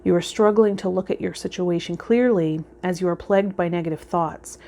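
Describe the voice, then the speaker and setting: A woman hosting a vlog as a tarot reader, talking to viewers in a gentle, reflective way and offering spiritual guidance. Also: lowish voice, brisk, creaky. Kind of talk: casual spiritual guidance talk to viewers, partly read out.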